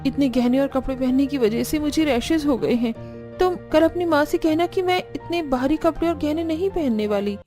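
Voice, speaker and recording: A woman's voice: high voice, normal speed, dark tone, lightly acted. A woman voicing a young new bride in a cartoon story, dismayed by her strict in-laws' household, with music underneath. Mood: complaining.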